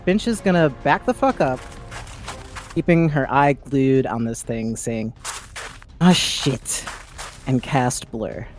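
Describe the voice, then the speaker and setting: A man's voice: mid-range voice, slow pace, pauses often. A man playing a tabletop game, narrating a character's actions. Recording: faint music behind the voice.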